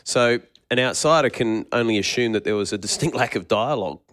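A man's voice: mid-range voice, fairly quick, a bit bright, dry recording, studio quality. A man asking long, probing interview questions, hesitant as he searches for words.

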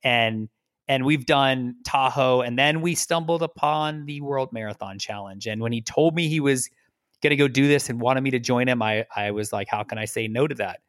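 Middle-aged man from the US, mid pitch, rambling guest storyteller, dry close sound. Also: moderate pace, no room sound. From United States. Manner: relaxed, rambling, repeats words. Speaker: guest, lawyer. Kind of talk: casual interview storytelling.

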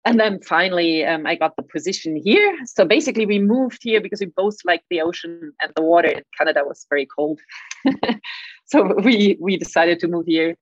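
A woman's voice: fairly low pitch, expressive, a little fast, dry recording, muffled recording. A middle-aged woman with a German accent, answering interview questions with frequent stammered repeats and light laughs. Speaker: guest, climate scientist.

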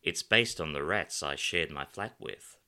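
A man's voice: rumbling low, a little slow, heavily acted. A male narrator voicing a flamboyant, wry writer in his thirties with a faded American accent. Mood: wry, animated. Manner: lively, wry, macabre storyteller. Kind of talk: audiobook character voice.

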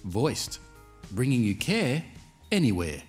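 Male voice-over for an explainer video, medium pitch, animated intonation, light music bed. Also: slow pace. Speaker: narrator. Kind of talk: scripted explainer video narration.

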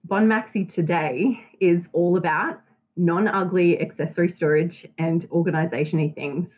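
Australian woman in her thirties, husky and lowish, tinny phone-like line, chatty guest. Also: steady tone, moderate pace. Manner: chatty, laughs often. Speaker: guest, business owner. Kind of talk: casual interview.

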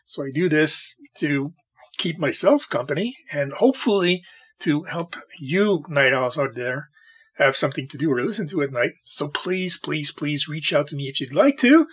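A man hosting a solo music podcast late at night, with a raspy voice and an opinionated, rambling way of talking. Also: a little high, expressive, normal speed, fairly bright tone. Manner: opinionated, rambling, talks to himself. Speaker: podcast host. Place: home recording at night.

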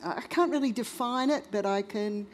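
A woman's voice: fairly high pitch, moderate pace, a little hiss or hum. A woman speaking on a discussion panel, frank and conversational, checking in with her listeners.

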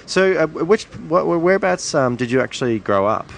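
A man's voice: medium pitch, very animated, brisk, vocal fry. A man interviewing a guest in a casual chat, talkative and hedging with fillers.

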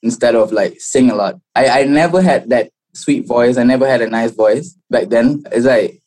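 Mellow, husky young Malaysian man. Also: mid-range voice, moderate pace, low-quality recording. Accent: Malaysian English. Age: 20s. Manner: relaxed, slangy, says "bro" a lot. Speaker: musician guest. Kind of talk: casual interview chat.

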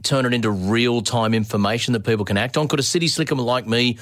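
A man's voice: medium pitch, fast talker, fairly bright tone, no room sound. Guy interviewing a founder, curious questions, dry close mic. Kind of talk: podcast interview.

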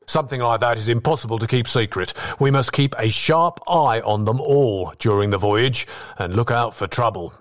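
A man voicing a stern, wary ship's captain who speaks in a measured, formal, commanding way. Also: medium pitch, close to an everyday voice. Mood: wary, disapproving.

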